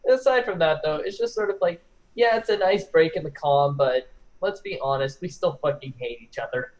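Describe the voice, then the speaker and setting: Higher-voiced young man, halting and sweary. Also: rough recording. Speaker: discussion participant. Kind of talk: casual chat. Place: online group call.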